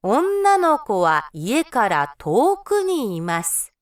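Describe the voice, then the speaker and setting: A woman reading example sentences for a language course, slowly and with careful, precise enunciation. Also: a little high, huge pitch swings. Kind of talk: slow, clearly read example sentences.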